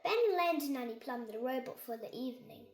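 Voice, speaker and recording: High-voiced young girl reading a story. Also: lively intonation, moderate pace. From Kerala, India. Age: child, about 6. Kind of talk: children's story read aloud. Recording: echoey room.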